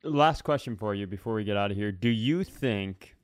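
Smooth-voiced American man, close dry sound. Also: fairly low pitch, expressive, normal speed, dry recording. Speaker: podcast co-host. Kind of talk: casual podcast conversation.

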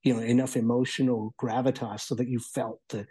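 A man giving a casual spoken review as a guest, talking in a halting, thoughtful way. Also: medium pitch, little pitch movement, warm tone, slightly rough.

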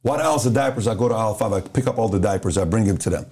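A man's voice: on the low side, racing. A middle-aged man from Iran, a podcast guest, telling stories in casual talk and acting out the dialogue. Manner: animated storyteller who acts out dialogue.

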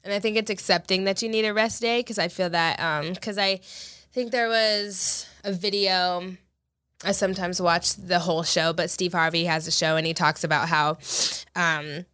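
A stuffy-nosed woman chatting on a podcast, her voice sitting a little low but bright and forceful. She rambles along with plenty of fillers and restarts. The sound is dry and close. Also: steady tone, normal speed, clear, resonant tone, dry recording. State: congested from allergies. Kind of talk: casual chat.

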